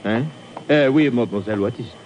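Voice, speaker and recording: A man plays an obliging train conductor in an old radio drama. His voice is mid-pitched, polite and deferential. The recording is muffled. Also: quick pace, slight background noise, natural voice. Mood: polite, harried. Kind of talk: 1950s radio drama acting. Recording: muffled vintage radio broadcast.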